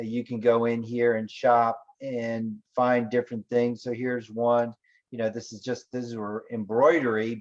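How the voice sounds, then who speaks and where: A man's voice: medium pitch, a little mellow, lo-fi sound. A man hosting an online business webinar, talking casually with many fillers and loose asides. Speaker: webinar host.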